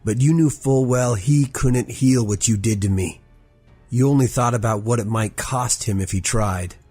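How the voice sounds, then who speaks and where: A man playing a proud, defiant swordsman in an audio drama, husky and bitter as he challenges his elder. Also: mid-range voice, normal speed, darkish tone, dry recording, faint music behind, lightly acted. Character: wounded-proud, defiant swordsman confronting his ruthless father. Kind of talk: audio fiction character acting.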